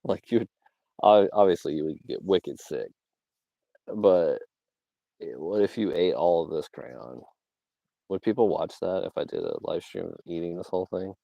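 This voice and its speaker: A man's voice: low voice, expressive, moderate pace, gravelly, long pauses, dark tone, dry recording. A young man from Georgia in the US South, casually rambling on a livestream with lots of fillers and little laughs. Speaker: livestream host. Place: outdoors.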